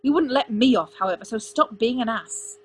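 A woman's voice: on the high side, expressive, normal speed, a little hiss or hum, pitched above the performer's own voice, close to an everyday voice. A woman voicing a proud, stubborn young crown prince with a clipped, commanding manner, in an audiobook story. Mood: exasperated.

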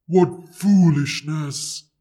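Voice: medium pitch, extremely slow, mellow, slightly rough. A narrator voicing a huge, proud, possessive dragon in an audiobook story, with imperious, stilted formal speech. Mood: grudging.

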